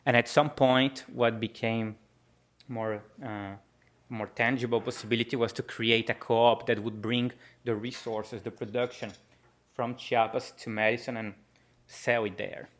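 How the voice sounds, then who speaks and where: A man from Brazil with a Brazilian Portuguese accent, presenting research at a conference in a halting way, with many restarts and fillers. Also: medium pitch, level tone, highs cut off. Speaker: academic presenter. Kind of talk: conference talk.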